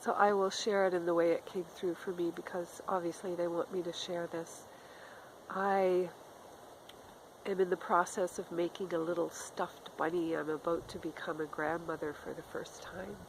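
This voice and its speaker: A middle-aged woman with a cold, speaking in a raspy, often whispered voice, giving a gentle spiritual talk outdoors in the woods. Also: lowish voice, level tone, normal speed, a little hiss or hum, poor audio. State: has a cold. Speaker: spiritual channeler. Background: faint outdoor ambience with a crow.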